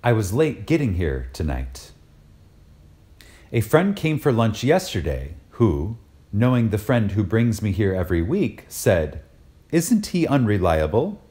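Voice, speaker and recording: Very deep, mellow man reading a lecture. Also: sing-song. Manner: deliberate, emphatic, with pauses. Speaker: reader of a spiritual lecture. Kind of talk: reverent, dramatic reading of a lecture.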